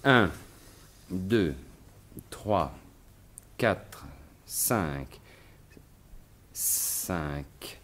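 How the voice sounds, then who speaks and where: An actor playing a witty gentleman burglar in a 1950s-style French radio drama, murmuring in an absorbed, teasing way. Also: low-pitched, expressive, extremely slow, pauses often, full character voice. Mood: absorbed counting.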